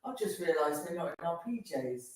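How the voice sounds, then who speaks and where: A man co-hosting a casual breakfast live stream, with a croaky voice and a joking manner. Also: fairly high pitch, expressive, fairly quick, crowd noise. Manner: joking, laughs often. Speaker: co-host. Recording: very echoey room.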